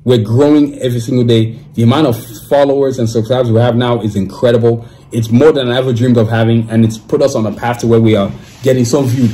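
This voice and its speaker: Laid-back podcast host man, mellow voice. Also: medium pitch, steady tone, quick pace, few pauses, rough recording. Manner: laid-back slangy talk with lots of "you know what I'm saying". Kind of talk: casual podcast monologue with sponsor reads. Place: small room at a desk, indoors.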